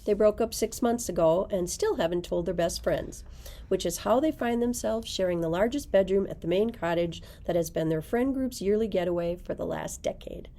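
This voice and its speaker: A woman's voice: medium pitch, moderate pace, warm tone. A middle-aged woman librarian presenting book picks, reading blurbs aloud in a library room. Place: indoors, library room with bookshelves.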